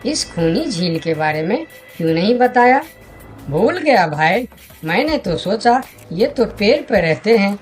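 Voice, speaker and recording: A woman voicing friendly talking jungle animals in expressive dialogue for a children's cartoon. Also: mid-range voice.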